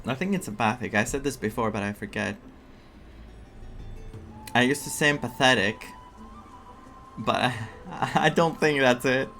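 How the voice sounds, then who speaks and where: Playthrough streamer: middling-pitched voice, informal and reactive, chatting casually with faint game music behind. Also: fairly bright tone. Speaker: streamer playing through a story game. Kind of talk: casual playthrough commentary, reading game dialogue aloud.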